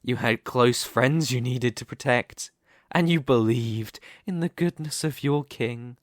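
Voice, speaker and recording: A man playing a smug, possessive demon king in an ASMR roleplay, speaking slowly and deliberately with a teasing, condescending manner. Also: medium pitch, no room sound, clean studio sound, close to an everyday voice. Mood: mocking pity. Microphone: close studio microphone, dry.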